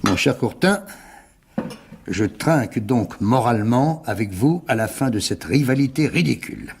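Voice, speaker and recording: An actor playing an effusive, pompous executive welcoming a visitor in a French radio drama, jovial and fond of repeating himself. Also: male voice, medium pitch, lively intonation, a little slow, a little hiss or hum.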